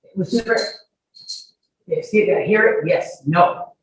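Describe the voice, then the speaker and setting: A woman's voice: lowish voice, a little slow. A woman testifying under questioning at a court hearing, emphatic and argumentative, often repeating herself and cutting in. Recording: very echoey room, distant mic, faint noise.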